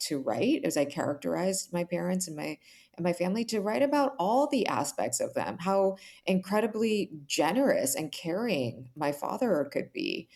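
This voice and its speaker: A woman's voice: sits low, a little mellow. A woman giving earnest, reflective interview answers in a conversational way, often saying "like" and checking in with "right?". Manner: earnest, explanatory, frequent "like" and "right?". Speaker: author, interview guest.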